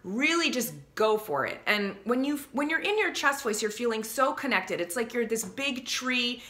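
Bright-voiced woman giving chatty singing tips. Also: fairly high pitch, normal speed. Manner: chatty and animated, breaks into sung examples. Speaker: vocal coach. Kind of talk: casual teaching to camera. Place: small home studio room, indoors.

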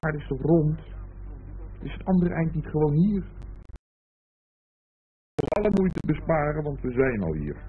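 A man's voice: a little high, lively intonation, unhurried, long pauses, slight background noise. An actor in a Dutch radio play as a dreamy, teasing man who rambles playfully and philosophizes, on a muffled old recording. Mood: playful rambling. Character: dreamy, teasing idler musing about an unfinished boat.

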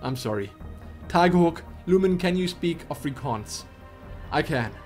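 Give me a young South African man chatting animatedly to his webcam, a bit high-voiced. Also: measured pace, soft background music. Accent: South African English. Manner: animated, chatty, theatrical asides. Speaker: YouTube gaming host. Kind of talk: casual Q&A vlog. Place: small home room with posters.

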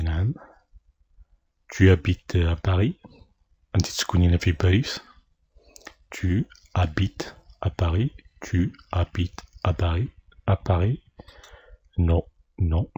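A deep, low-pitched man with a husky, mellow voice teaches a dialogue, pronouncing each line slowly and repeating it again and again. The recording is dry and close, with no room sound. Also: no room sound. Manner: explains each line in Arabic, then repeats the French slowly. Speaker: French teacher. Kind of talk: slow repeated drill of a French dialogue.

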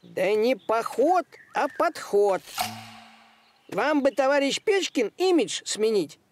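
A man's voice: extremely high pitch, very animated, takes it slowly, a little hiss or hum, heavily acted. A man voicing a smug, self-assured talking animal who gives advice in a cartoon, with a drawling delivery. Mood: advising.

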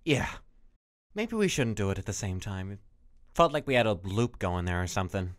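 A woman voicing a small, gentle young male skeleton monster, hesitant and full of self-doubt, in a comic dub. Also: rumbling low, expressive, normal speed, no echo, studio quality. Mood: uneasy.

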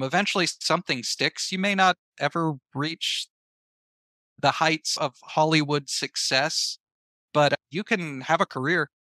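A man's voice: on the high side, sharp tone, no room sound. A man speaking casually as an interview guest, sharing advice and experience in a conversational way. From American Southeast. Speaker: podcast guest, writer and filmmaker.

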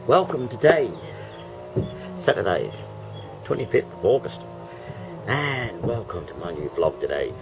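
A middle-aged Australian man vlogs from home and yard with a hoarse, cold-worn voice. He speaks slowly in a lowish register and keeps chuckling between remarks. The camera mic picks up faint outdoor noise. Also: big pitch swings. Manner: chatty, laughs often between remarks. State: hoarse, losing his voice. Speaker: vlogger. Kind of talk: casual home vlog to camera. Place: home, indoors and in a backyard.

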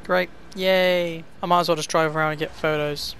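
A man's voice: fairly high pitch, level tone, a bit bright, slight background noise. A young man from Wales with a Welsh accent, casually commenting on his gameplay, frustrated and swearing often. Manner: frustrated, sweary, quick outbursts. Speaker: gaming YouTuber.

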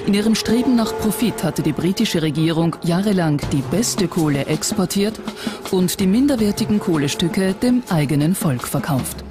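Documentary narrator, medium pitch, scripted delivery, music bed underneath. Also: lively intonation, moderate pace. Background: background music.

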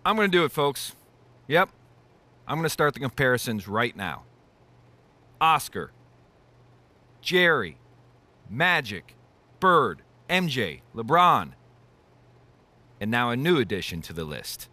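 Bright, showy sports commentator. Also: male voice, mid-range voice, sing-song, takes it slowly, long pauses, full character voice. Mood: hyped, theatrical. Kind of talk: theatrical sports studio commentary.

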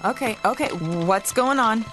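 A woman's voice: a little high, lively intonation, music in the background. A young woman with an American accent playing an assertive TV reporter in a video game cutscene. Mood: anxious. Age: young adult. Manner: assertive, quick to question.

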